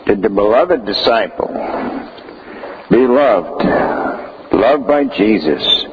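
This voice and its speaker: Humble, devoted biblical disciple, male actor, solemn deliberate delivery, church drama, lo-fi sound with faint noise. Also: fairly low pitch, animated. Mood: humble, reverent. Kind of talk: church stage monologue.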